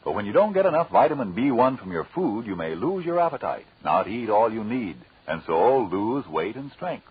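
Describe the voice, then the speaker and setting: A man announcing on 1940s radio, delivering story links and sales pitches with dramatic emphasis. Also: sing-song, normal speed, few pauses, slightly rough. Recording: dull, lo-fi old radio recording.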